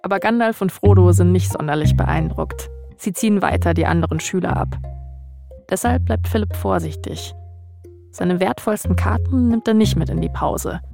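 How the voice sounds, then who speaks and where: A woman's voice: on the low side, normal speed, vocal fry, mellow, faint music behind. A woman hosting and narrating a scripted podcast story. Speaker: narrator, host.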